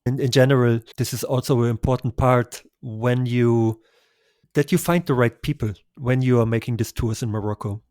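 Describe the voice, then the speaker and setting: A man's voice: medium pitch, steady tone, normal speed, darkish tone, no room sound. Enthusiastic male travel guest, dry sound. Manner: enthusiastic, effusive recommendations with small laughs. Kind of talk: conversational travel interview.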